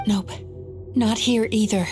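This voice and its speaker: A woman voicing a calm, wise dream-eating therapist in a video game, measured and gently resolute. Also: medium pitch, loud music behind the voice, close to an everyday voice. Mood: solemn. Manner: measured, explanatory, composed.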